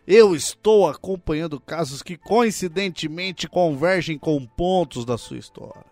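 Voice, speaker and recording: A man playing a stern tiger police detective in a comedic audio drama, speaking formally and deliberately with cold sarcasm. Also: on the high side, a little mellow, no room sound, faint music behind.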